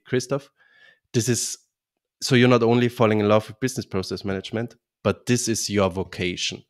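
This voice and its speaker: Low-voiced Austrian man, halting speech. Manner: halting, many restarts and fillers. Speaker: guest. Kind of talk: podcast interview.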